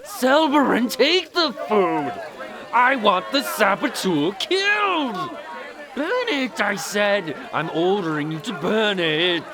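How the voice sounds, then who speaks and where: Slow, pompous, cruel fantasy lord. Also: male voice, high voice, big pitch swings, a crowd in the background, heavily acted. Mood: furious. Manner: pompous, stammering when flustered. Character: pompous cruel usurping lord, cowardly and flustered. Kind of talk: fantasy audio drama acting.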